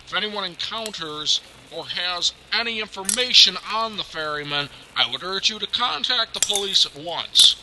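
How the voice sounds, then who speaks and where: An actor playing a police commissioner giving a formal, deliberate public address in old-time radio style. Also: male voice, sits high, lively intonation. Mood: official, firm. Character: brisk, official male police commissioner. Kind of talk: 1940s radio acting. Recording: clearly noisy background.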